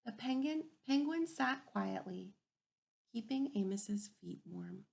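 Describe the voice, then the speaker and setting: Woman, teacher, picture-book read-aloud, unhurried pace, noticeable vocal fry, questioning and thinking aloud for small children. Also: a little high, lively intonation, a little mellow.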